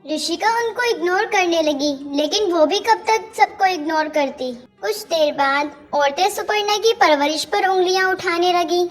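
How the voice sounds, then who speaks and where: A woman's voice: very high voice, normal speed, few pauses, faint music behind. A woman narrating a scripted cartoon family story.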